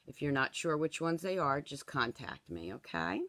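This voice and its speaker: A woman in her sixties leads a friendly live stamping demonstration at her craft table. Her voice is a little hoarse from a cold, and her delivery is chatty and easygoing. The sound is dry and close, with no room echo. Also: sits low, very animated, normal speed, a bit bright. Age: 60s. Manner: chatty, tags instructions with "okay?". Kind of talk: live-streamed craft tutorial.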